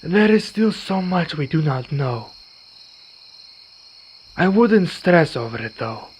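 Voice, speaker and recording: A man playing a weary, courteous vampire in an ASMR roleplay, speaking in formal, measured phrases. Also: on the high side, big pitch swings. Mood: reassuring. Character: weary, courteous male vampire, wounded but calm. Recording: dull recording with night insect noise. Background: crickets and night insects.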